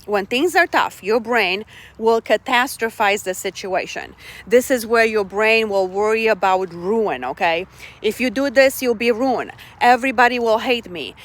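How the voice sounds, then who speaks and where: A woman's voice: a little high, unhurried. A middle-aged woman from Romania, giving casual life advice in an earnest way and often checking in with her listeners. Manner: earnest, keeps checking in with "okay?" and "right?".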